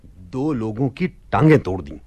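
Radio drama: hospitable, outspoken son, mid-pitched, very animated delivery. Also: male voice, measured pace. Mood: indignant. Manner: courteous, animated, indignant about injustice. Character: hospitable, outspoken son of a widow, Abul Hasan. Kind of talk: Hindi radio play acting.

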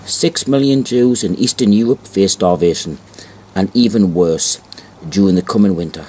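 A man giving an informal show-and-tell narration, reading aloud deliberately and repeating phrases for emphasis, on an amateur home recording. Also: low voice, a little mellow, husky. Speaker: amateur video presenter.

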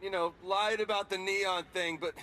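An actor playing a cocky, wisecracking young American superpowered rebel in a video game. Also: male voice, high voice, fairly even intonation, normal speed, sharp tone. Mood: bargaining. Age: young adult. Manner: wisecracking, sarcastic, casual. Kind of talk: video game cutscene acting. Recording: game cutscene with music behind.

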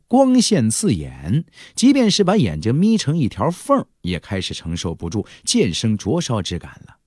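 A man narrating an audiobook in a dramatic storytelling style, expressive, with drawn-out emphasis. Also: on the low side, no echo, studio quality.

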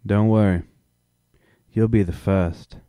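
A man voicing a calm, unhurried county sheriff with a drawl who speaks in a placating way. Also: lowish voice, smooth, dry recording, studio quality.

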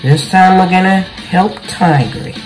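Casting: young male game streamer, laid-back and slangy, slow delivery, faint music underneath. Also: sits high, low-quality recording. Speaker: gaming video host. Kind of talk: casual gameplay commentary, reading cutscene dialogue aloud. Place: small bedroom, indoors.

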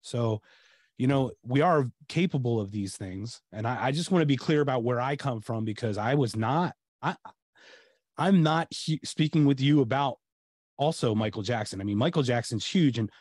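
A man's voice: mid-range voice, animated, normal speed, darkish tone, dry recording. Middle-aged American man, podcast host, rambling casual talker, dry video-call audio. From United States. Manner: rambling, many restarts and fillers. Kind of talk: casual interview chat. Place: home room, video call.

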